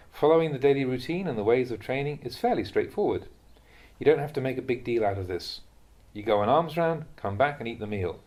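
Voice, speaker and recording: A man reading a translated Buddhist talk aloud as a monk would teach, in a measured, instructive manner. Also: medium pitch, a little mellow.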